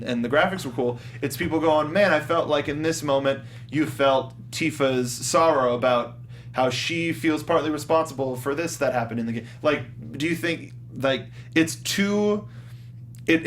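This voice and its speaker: Guy hosting a casual movie review chat, kinda high voice, rephrases as he goes, bit of rumbly noise. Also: fairly bright tone. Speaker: host of a film review show. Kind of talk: casual panel discussion.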